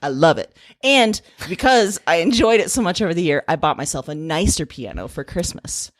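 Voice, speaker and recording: Co-host, woman, forties, low and animated, dry close sound, chatty. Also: normal speed, no echo. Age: 40s. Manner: chatty, laughing easily, animated. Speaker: podcast co-host. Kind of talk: casual chat.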